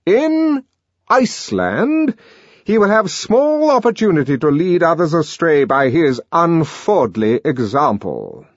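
A man's voice: on the high side, big pitch swings, takes it slowly, dry recording, dull audio, pitched above the performer's own voice, exaggerated voice. A man playing a pompous male official who condemns someone in a grand, declaiming voice. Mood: condemning.

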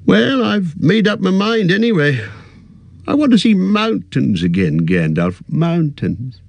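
Fussy old hobbit voiced by a male narrator, high and quavering, husky edge, dry close sound. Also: very animated, measured pace, no echo, heavily acted. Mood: wistful. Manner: high quavering, petulant and defensive. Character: fussy elderly male hobbit, turning possessive.

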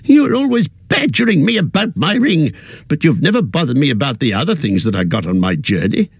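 Petulant elderly hobbit, high quavering voice, lively swings, as a man reading puts him on. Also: measured pace, gravelly, slightly rough, dry recording, exaggerated voice. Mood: flustered. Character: fussy elderly male hobbit, turning possessive.

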